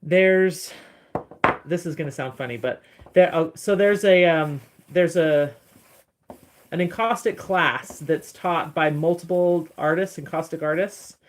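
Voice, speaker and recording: A woman with a deep voice chatting casually on a phone livestream from her studio, rambling a little as she answers viewers' comments. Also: unhurried. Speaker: encaustic artist streaming live. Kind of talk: casual livestream chat while working.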